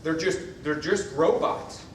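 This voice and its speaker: A man's voice: fairly high pitch, a little slow. Earnest male coach in an echoey hall. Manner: earnest, reflective, repeats phrases for emphasis. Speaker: college football coach. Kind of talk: panel discussion answer. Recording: echoey room, mic far off, faint noise.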